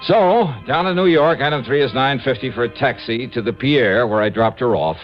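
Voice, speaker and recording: Muffled vintage radio sound with music behind a man acting a wisecracking private investigator. His delivery is very animated, sliding from dry narration into flustered hesitation. He is wry and easily smitten. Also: medium pitch, normal speed, lightly acted. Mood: wry narrating. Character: smitten wisecracking male freelance insurance investigator. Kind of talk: 1950s radio detective drama, first-person narration and dialogue.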